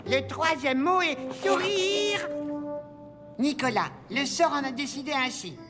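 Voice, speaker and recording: Bossy little cartoon elf chief, high pitched, super formal, big echo. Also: expressive, unhurried. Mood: solemn, officious. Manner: formal, ceremonious, officious. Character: Edgar, pompous bossy chief Christmas elf. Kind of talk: children's cartoon dub. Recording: long echoing hall, music behind.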